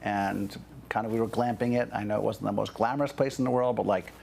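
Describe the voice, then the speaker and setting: Brief: male guest, lower voice with a gravelly edge, rambling chatty storyteller, faint background hiss. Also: fairly even intonation, fast, darkish tone. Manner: chatty, lots of fillers like "you know". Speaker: interview guest. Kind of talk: casual storytelling.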